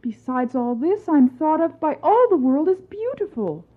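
A woman playing a gentle, anxious empress in a dramatised folktale, speaking formally and plaintively. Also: sits high, very animated, moderate pace. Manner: plaintive, formal storybook speech. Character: anxious, dainty young empress, gentle and ashamed. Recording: thin, phone-like lo-fi sound.

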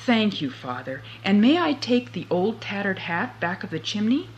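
A woman voicing a clever, cheeky young farm boy who talks brightly and slyly. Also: mid-range voice, sing-song, moderate pace, dull audio, natural voice.

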